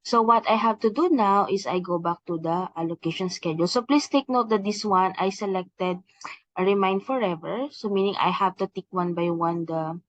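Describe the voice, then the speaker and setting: A woman explaining software step by step as an instructional trainer, hesitating often as she goes. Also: mid-range voice, moderate pace, no echo. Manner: instructional, with frequent hesitations and repeated "okay" and "please take note". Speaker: software trainer presenting a tutorial. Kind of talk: step-by-step software demonstration, semi-scripted explanation. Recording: slightly dull, lo-fi screen-recording audio.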